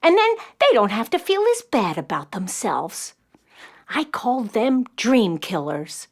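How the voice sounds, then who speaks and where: A woman acting an elderly, unschooled, doting grandmother in a children's audio play, with an expressive, folksy, emphatic way of talking. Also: medium pitch, a little slow. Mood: firm, protective.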